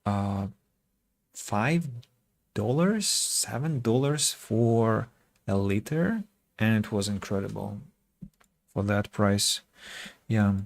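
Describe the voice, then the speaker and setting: A man from Russia with a Russian accent chats casually with viewers as a game streamer, speaking reflectively and pausing mid-sentence. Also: fairly low pitch, lively intonation, gravelly, no room sound. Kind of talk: casual livestream chat with viewers.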